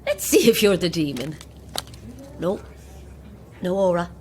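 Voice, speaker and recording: A woman's voice: on the low side, very animated, unhurried, noisy background. A woman playing a friendly, teasing photographer passenger in a naturalistic audio drama. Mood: playful.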